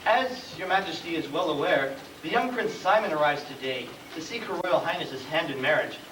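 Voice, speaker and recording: A man playing a deferential, long-winded royal chancellor in a stage comedy, formal and halting as he picks his words. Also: fairly high pitch, expressive, close to an everyday voice. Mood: careful, tactful. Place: school stage, live play performance. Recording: distant, noisy, echoey room recording of play.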